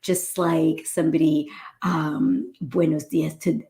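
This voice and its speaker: A woman from New York hosting a casual spiritual talk for live viewers. She talks in an animated way, often tags her lines with "right?" and laughs a lot. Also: slow, gravelly. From New York, USA. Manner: acts out quoted voices in a high pitch. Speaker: host, doctor.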